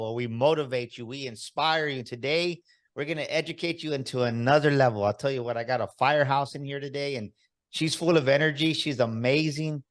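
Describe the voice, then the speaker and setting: Male podcast host, upbeat hype-man delivery, average pitch. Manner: hype-man energy, enthusiastic and emphatic. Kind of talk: upbeat motivational podcast interview.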